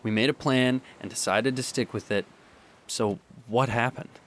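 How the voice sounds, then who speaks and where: A young man playing a heartbroken boyfriend in an audio drama monologue, speaking earnestly and haltingly. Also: medium pitch, fairly even intonation, close to an everyday voice. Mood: frustrated, accusing. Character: heartbroken young boyfriend pleading with his distant partner.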